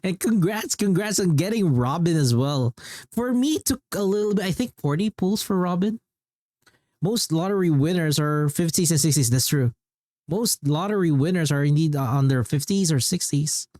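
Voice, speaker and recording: A male game streamer talks casually to his chat. His voice is mellow and relaxed, with lively swings in pitch. The sound is close and dry. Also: normal speed, dry recording. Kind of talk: casual livestream chat, reacting to gameplay and viewers.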